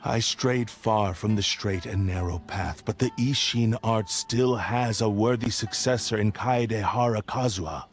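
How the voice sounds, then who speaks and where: A man's voice: lowish voice, measured pace, few pauses, soft background music. A man voicing a remorseful fallen swordsman in a video game, speaking in a solemn, old-fashioned, pleading way.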